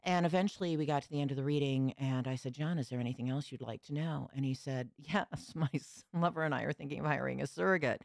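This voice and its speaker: A woman's voice: rumbling low, fast, vocal fry, few pauses, darkish tone, no room sound. Mature female interview guest, astrologer, reflective conversational storyteller, recorded dry and close.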